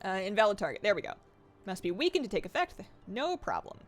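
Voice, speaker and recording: Excitable young woman gaming on stream, voice swings all over, reads chat out. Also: medium pitch, quick pace. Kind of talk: live gameplay stream chat.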